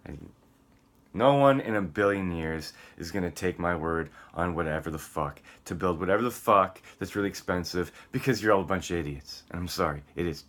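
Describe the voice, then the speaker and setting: Male vlogger, lowish forceful voice, combative and foul-mouthed, free-form rambling delivery. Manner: profane, combative; abrupt swings between muttering and shouting. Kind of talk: rambling solo rant.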